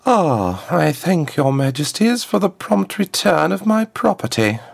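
Man performing a smug storybook villainess, raised contralto pitch, swooping intonation, formal, haughty, silkily menacing. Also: big pitch swings, a little slow, full character voice. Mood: icily courteous. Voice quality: smooth, lifted contralto. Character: smug, haughty, athletic woman ambassador and villain.

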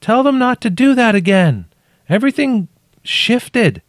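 A man voicing an injured woman trapped in a cave, calling out in short, halting, awestruck phrases for an audiobook story. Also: a little high, very animated, no room sound, studio quality, pitched above the performer's own voice. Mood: uneasy.

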